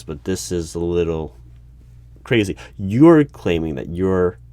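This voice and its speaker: Man playing a deep-voiced, puzzled secret-agency interviewer. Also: a little mellow, no echo. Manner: halting, questioning, careful clarifying. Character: puzzled, methodical hiring manager of a secret agency. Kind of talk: one-sided ASMR interview roleplay. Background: computer keyboard typing and clicks.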